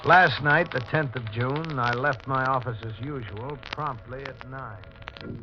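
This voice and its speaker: An actor playing a stern, methodical homicide lieutenant in a 1940s radio drama, speaking in a deliberate, precise, dramatic way. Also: male voice, medium pitch, close to an everyday voice. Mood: grave, precise. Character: stern, methodical male police homicide lieutenant giving testimony. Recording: muffled 1940s radio recording with music.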